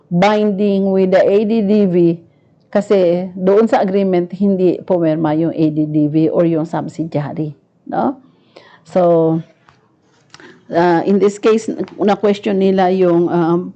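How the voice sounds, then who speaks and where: A woman's voice: medium pitch, dark tone, lo-fi sound. A woman with a Filipino accent giving a live online lecture in a conversational way, often adding a questioning tag to her phrases. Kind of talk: live online lecture, explaining and reading out court decisions.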